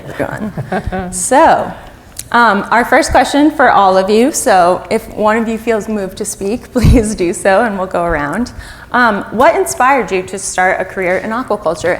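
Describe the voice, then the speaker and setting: A woman's voice: mid-range voice, moderate pace. A woman moderates a panel on a theater stage. She reads guest introductions formally, then asks questions with light laughs. Faint room noise lingers in the background. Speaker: host, moderator.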